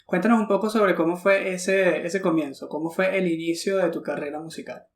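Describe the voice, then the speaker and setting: A man hosting an interview podcast, effusive and warm in greeting, often repeating himself as he shapes his questions. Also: high voice, steady tone, a little fast, roomy sound. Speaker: podcast host. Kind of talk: friendly interview.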